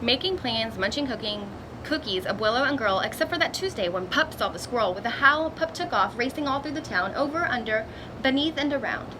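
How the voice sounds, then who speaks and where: A woman reading a children's picture book aloud in an expressive storytelling manner, with little asides to young listeners. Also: fairly high pitch, a little fast, background noise. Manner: expressive, acts out exclamations and dialogue.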